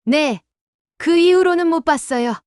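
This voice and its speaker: A woman's voice: very high-pitched, a little slow, a little mellow, no echo, studio quality. A woman playing a helpful, chatty village shopkeeper in an audio drama. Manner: chatty and forthcoming. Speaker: village shop owner.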